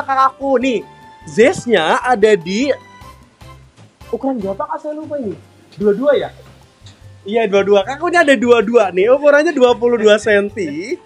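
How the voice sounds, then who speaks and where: Upbeat guy with a really high voice selling cakes on a live stream, faint music behind. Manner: chatty and upbeat, constantly addressing viewers warmly. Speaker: live-stream sales host. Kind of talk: live-selling chat, reading out viewer comments.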